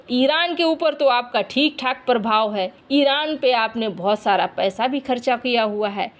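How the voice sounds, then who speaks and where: A woman with a distinctly high voice presents the news. Her delivery is scripted but conversational, walking through each point in an explanatory way. She addresses the listener directly as she narrates.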